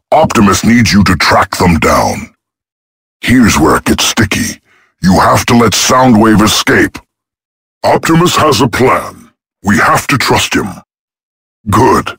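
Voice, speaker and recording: A world-weary, watchful robot soldier from a video game. His low voice is gravelly and swings widely in pitch as he speaks with caution, in a dry recording. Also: a bit bright, no room sound, exaggerated voice. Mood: firm, careful.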